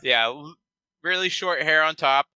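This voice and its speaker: Player, man, very bright slightly high voice, halting casual talk, dry mic. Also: smooth, no echo. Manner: hesitant, repeats words, laughs. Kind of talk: casual chat at a game table.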